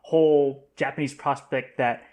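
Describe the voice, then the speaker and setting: A man's voice: mid-range voice, fairly even intonation, measured pace, a little mellow. A man in a casual panel chat, speaking hesitantly and searching for his words. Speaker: podcast panelist.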